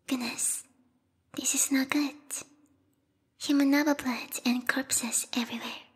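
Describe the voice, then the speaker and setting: A woman voicing a bloodthirsty, refined bat girl royal guard in a whispered ASMR roleplay, prim and courtly with quiet menace. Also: sits high, long reverb tail. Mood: prim, mock-apologetic. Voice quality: breathy, whispery. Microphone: close binaural microphone.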